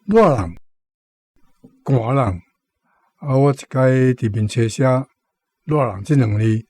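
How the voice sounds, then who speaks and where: A man's voice: medium pitch, slow, dark tone, husky, no room sound. A man with a Taiwanese accent hosting on radio, explaining words conversationally and repeating his points. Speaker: radio show host. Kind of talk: explanatory commentary on Taiwanese vocabulary.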